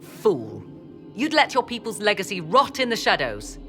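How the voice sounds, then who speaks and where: A woman's voice: deep voice, animated, a little slow, vocal fry, soft background music, full character voice. An actress playing a haughty, shrewd witch adviser in a video game, with an arch, imperious and formal manner. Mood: scornful. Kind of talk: dramatic video game cutscene acting.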